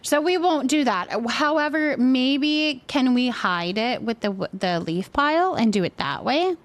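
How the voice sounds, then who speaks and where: A woman's voice: high-pitched, expressive, unhurried, slight background noise. A woman chatting casually as she hosts a game livestream, thinking aloud and reacting to viewers. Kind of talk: casual chat while playing a building game, reacting to viewers.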